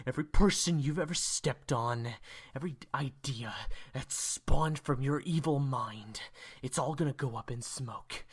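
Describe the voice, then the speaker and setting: A man playing an angry, defiant hero in an audio drama, with a gravelly, raspy voice and an intense, bitter manner. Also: mid-range voice. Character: angry, defiant male hero facing his enemy. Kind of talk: audio roleplay drama acting.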